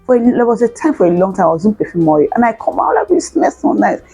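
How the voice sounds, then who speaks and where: A woman's voice: medium pitch, lively intonation, rapid-fire, dark tone, slightly rough, faint music behind. A woman in her thirties chatting earnestly to camera, giving lifestyle advice with a teasing, laughing manner. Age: 30s. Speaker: lifestyle vlogger. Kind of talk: casual advice monologue to camera.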